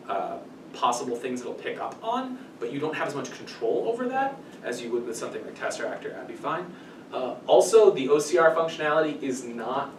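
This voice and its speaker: Echoey, distant man presenting informally. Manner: casual, self-interrupting, hedging with kind of and sort of. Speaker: workshop presenter. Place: small meeting room, indoors. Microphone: distant camera mic. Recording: echoey room, distant mic, rumbling noise.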